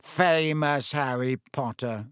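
Storybook schoolboy villain, played by a male reader, sneering and spiteful, slow mocking drawl. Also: medium pitch, fairly bright tone, smooth, no room sound, studio quality.

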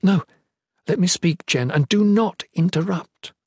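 Man voicing a desperate young queen, pitched high. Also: moderate pace, darkish tone, husky, no echo, clean studio sound. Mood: urgent, confessing.

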